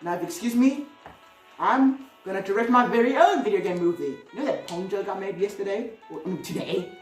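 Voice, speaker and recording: A woman's voice: mid-range voice, a little fast. Echoey, distant-sounding woman doing casual commentary. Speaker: video host. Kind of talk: casual commentary on video game movies. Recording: roomy, echoey, distant mic with music.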